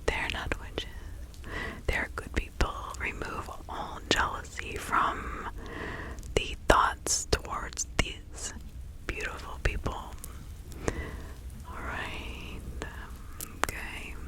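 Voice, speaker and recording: A woman whispering slowly and breathily in an ASMR roleplay, playing a kindly, protective witch who gives careful instructions. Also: low-quality recording. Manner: slow, deliberate, instructing with soft repetition. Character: kindly, protective 17th-century witch woman giving counsel.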